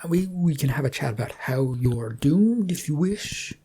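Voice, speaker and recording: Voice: medium pitch, lively intonation, measured pace, warm tone, breathy edge. A narrator voicing a sadistic male torturer in a story, chatty and mock-polite as he taunts his victim. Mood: taunting.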